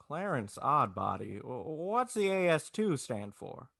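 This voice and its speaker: A man's voice: a little high, sing-song, a little slow, creaky, fairly bright tone, dry recording, clean studio sound, heavily acted. A man playing a despairing, wry, bewildered family man with a stammering, folksy manner, in 1940s radio drama style. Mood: bewildered, agitated. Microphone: video call.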